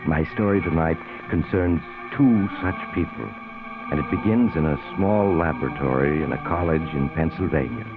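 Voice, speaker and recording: An actor playing a sinister mysterious traveler, narrating a mystery radio drama with an American accent in an ominous, deliberate, teasing way. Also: male voice, very deep voice. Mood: sinister, inviting. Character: sinister mysterious traveler, male storyteller of eerie tales. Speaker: narrator and host. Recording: tinny old radio recording with music.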